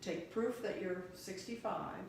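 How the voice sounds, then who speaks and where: A woman's voice: fairly low pitch, a little slow, creaky. A woman answering interview questions in an informal, instructive and matter-of-fact way. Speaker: interview guest, county treasurer. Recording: very echoey, distant mic, faint noise.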